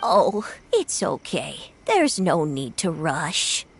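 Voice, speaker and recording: Very slow, kindly old grandmother. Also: low voice, huge pitch swings, slight background noise, exaggerated voice. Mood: gentle. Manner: slow, gentle and unhurried. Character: kindly, grateful elderly grandmother visiting a shrine. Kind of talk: video game voice acting. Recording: music behind the voice.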